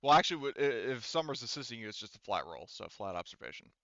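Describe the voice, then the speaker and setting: A man acting as game master in an online tabletop roleplaying game, talking casually and haltingly, with informal explanations and asides. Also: mid-range voice, very animated, sharp tone, dry recording, highs cut off.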